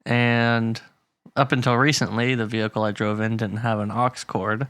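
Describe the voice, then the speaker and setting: A man's voice: mid-range voice, little pitch movement, normal speed, fairly bright tone, clean tone, no room sound. A man co-hosting a casual podcast chat, rambling with frequent restarts and laughing often. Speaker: podcast co-host. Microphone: pop filter.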